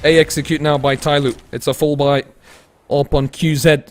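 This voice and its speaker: A young man casting an esports match, laid-back and tired between plays, rising to fast, excited calls when the action heats up. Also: a little high, a little hiss or hum. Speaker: esports caster. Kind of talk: live esports commentary mixed with chatting to viewers.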